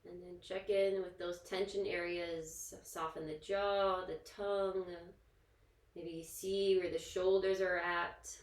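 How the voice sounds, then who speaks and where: Woman, yoga instructor, lower-pitched voice, soothing guided relaxation, gentle informal phrasing, roomy echo. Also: level tone, takes it slowly. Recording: echoey, roomy sound.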